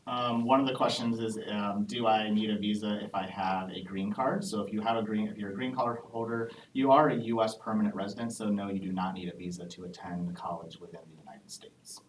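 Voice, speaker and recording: A man with a fairly low voice hosts a live admissions Q&A in a friendly, explanatory way, stopping now and then on an "um". He is picked up from a distance in an echoey room. A faint hiss runs behind him. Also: steady tone. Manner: friendly, explanatory, with frequent "um" pauses. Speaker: assistant director of international undergraduate admissions. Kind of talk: hosting a live admissions Q&A panel.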